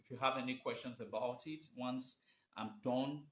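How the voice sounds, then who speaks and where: A man's voice: mid-range voice, steady tone, normal speed. A man hosting a live stream, talking off the cuff, hesitant and rambling, with many fillers and restarts. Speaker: host of a live video show on history. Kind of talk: unscripted live-stream talk. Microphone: phone or webcam during a live stream. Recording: echoey room.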